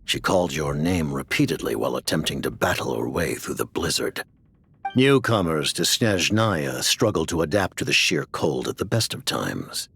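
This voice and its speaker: A grave, mysterious older director of a sinister order in a video game cutscene. The voice is extremely deep and crackles with gravel. He speaks formally and cryptically, with commanding, expressive swings of pitch. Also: normal speed, full character voice. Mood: calm, grave.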